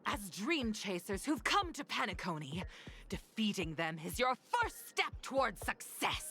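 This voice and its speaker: An actress playing a dramatic female game announcer who declaims to contestants in a forceful, theatrical way. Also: mid-range voice, big pitch swings, a little slow, faint music behind, full character voice. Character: dramatic female stage narrator introducing monsters to contestants.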